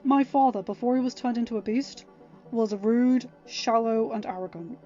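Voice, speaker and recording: A woman voicing an earnest, protective young king, speaking gently but emphatically to reassure someone in a story. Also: a little high, normal speed, muffled recording, soft background music, close to an everyday voice. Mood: earnest, persuasive.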